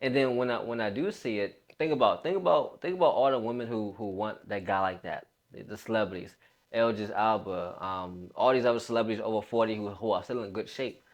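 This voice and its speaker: Low-voiced, emphatic young man. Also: brisk, lo-fi sound. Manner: emphatic, repeats phrases, informal bro slang. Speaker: fitness coach. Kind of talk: casual motivational talk to camera.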